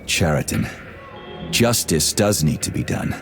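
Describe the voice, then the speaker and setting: An actor playing a gruff, foul-mouthed male army major who speaks with sarcasm. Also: low voice, animated, a little slow, music in the background.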